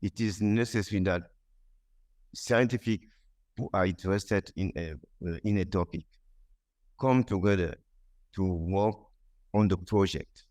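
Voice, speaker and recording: A man's voice: low voice, unhurried, long pauses, a little mellow. A man answering on a conference panel, hesitant, with many fillers and restarts.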